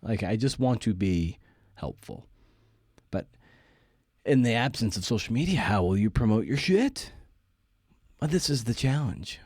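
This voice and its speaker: A man hosting a podcast in a casual, confessional monologue, halting and self-deprecating, with casual swearing. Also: on the low side, expressive, darkish tone, slightly rough, dry recording, clean studio sound. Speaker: podcast host. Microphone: close podcast microphone.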